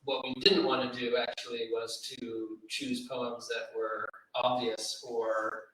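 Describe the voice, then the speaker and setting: A man explaining informally as an editor at a literary event, halting and correcting himself as he goes. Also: medium pitch, little pitch movement, a bit bright. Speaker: anthology editor and panel speaker. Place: reverberant library room, live event. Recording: very echoey room, distant mic.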